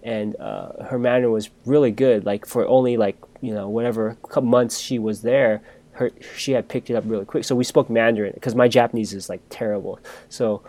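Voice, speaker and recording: A man's voice: mid-range voice, steady tone, a little fast, warm tone. A man in the middle register tells a long personal story on a podcast, chatting casually. He talks freely and loosely, often breaking off and starting his sentences over.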